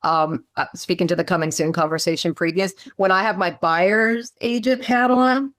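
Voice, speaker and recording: Woman agent, chatty storyteller, lower pitch, dry close sound, video call. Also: normal speed, dry recording. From Maryland, USA. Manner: chatty, animated storyteller, lots of fillers and asides. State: low morning voice. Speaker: real estate agent. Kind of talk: casual meeting chat on a video call.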